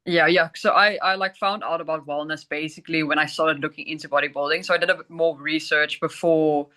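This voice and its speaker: Bright young woman, chatty athlete. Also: sits low, fairly even intonation, brisk. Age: young adult. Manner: chatty, peppers speech with "like". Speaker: junior bodybuilding athlete guest. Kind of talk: casual interview chat.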